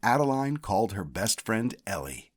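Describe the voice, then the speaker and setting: A man narrates a children's picture book. His delivery is deliberate and expressive, and his pitch moves around freely as he tells the tale. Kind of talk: scripted read-aloud narration.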